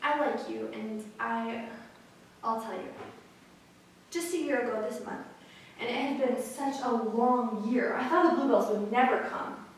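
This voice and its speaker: Earnest poor little boy, played by a woman, high, piteous, protective, dramatic recital, very echoey. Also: measured pace. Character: sad, earnest little bootblack boy, protective of his sister. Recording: echoey room from far off, faint noise.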